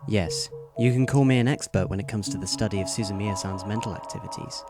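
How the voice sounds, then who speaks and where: A man playing a polite, self-assured teenage schoolboy in an audio drama, explaining things in formal, measured sentences. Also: on the low side, natural voice. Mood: calm, confident. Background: music under the voice.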